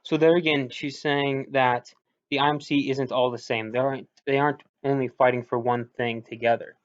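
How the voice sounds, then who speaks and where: A man's voice: medium pitch, flat delivery, normal speed. A man giving informal, slightly hesitant explanatory commentary on video game voice lines, thinking aloud as he goes. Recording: dull lo-fi recording.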